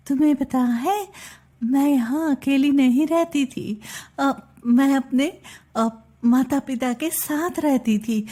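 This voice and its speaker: A woman voicing a gentle, kind young female serpent in a children's fairy tale, with an earnest, soft-hearted manner. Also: on the high side.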